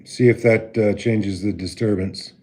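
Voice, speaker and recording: A man with a deep voice hosting a casual livestream, greeting viewers by name and talking with many halting filler pauses. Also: steady tone, darkish tone, a little hiss or hum. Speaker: livestream host. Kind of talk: casual live stream chat with viewers. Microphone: laptop mic.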